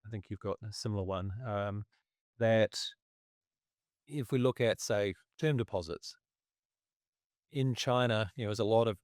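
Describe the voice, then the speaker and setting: A man, losing his voice, talking through markets in a casual, halting way and clearing his throat often. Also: fairly low pitch, darkish tone, dry recording, studio quality. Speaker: investment commentator.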